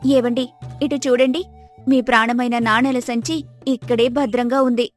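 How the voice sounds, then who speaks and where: A woman voicing a patient, wise, devoted village wife in a moral story, earnest and gently admonishing. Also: a little high, fairly even intonation, normal speed, soft background music, lightly acted. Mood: relieved, devoted. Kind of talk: Telugu moral story character acting.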